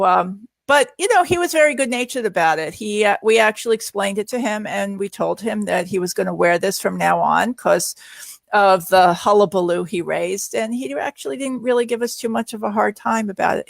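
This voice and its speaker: A woman's voice: mid-range voice, creaky, no echo. A middle-aged woman telling personal stories conversationally as a parent guest, explaining things in a rambling way. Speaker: guest parent sharing experience. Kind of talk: conversational personal storytelling in a live Q&A.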